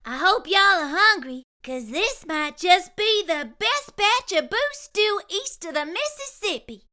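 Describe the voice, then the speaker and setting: A woman's voice: extremely high pitch, animated, unhurried, sharp tone, voice pushed up, heavily acted. A woman voicing a bold, unflappable backwoods cook who speaks in plain, folksy country talk. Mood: coaxing.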